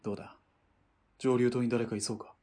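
A man's voice: mid-range voice, flat delivery, mellow, close to an everyday voice. Actor playing a composed, terse male sniper's partner. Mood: wary, hushed. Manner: terse, measured tactical speech. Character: composed, wary military-trained sniper's partner on covert mission. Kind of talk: Japanese radio drama acting. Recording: faint vehicle and ambience noise.

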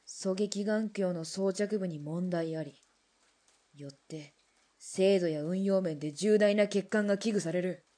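An actress playing a cool, defiant military weapons engineer in a radio drama, with blunt, clipped, mannish phrasing. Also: female voice, mid-range voice, measured pace, mellow, lightly acted. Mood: cool, challenging.

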